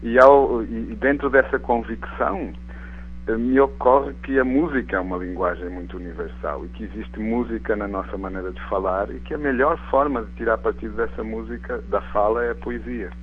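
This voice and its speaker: A middle-aged man from Portugal with a European Portuguese accent softened by Brazilian sounds, speaking thoughtfully as a guest in a live radio interview. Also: lowish voice, a little fast, a little hiss or hum. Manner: thoughtful, searching for words with restarts. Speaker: guest author and biology professor. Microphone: telephone. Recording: tinny phone line.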